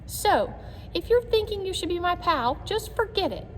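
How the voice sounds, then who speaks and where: A woman voicing a small, earnest, easily huffy male hamster in a children's storybook, squeaky and emphatic. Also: very animated, normal speed, fairly bright tone, exaggerated voice. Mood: indignant, huffy. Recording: echoey room, noisy amateur recording.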